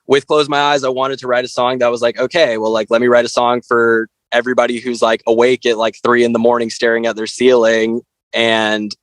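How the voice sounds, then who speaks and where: Casual, halting man in a dry interview. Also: mid-range voice, level tone, dry recording. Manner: halting, informal, peppered with "like". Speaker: interview guest, band frontman.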